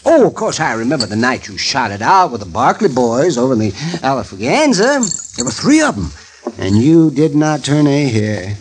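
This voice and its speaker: An actor playing a teasing old frontier town doctor in a 1950s radio drama, chuckling and needling in a folksy way. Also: male voice, mid-range voice, sing-song, moderate pace, husky. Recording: hissy old radio broadcast.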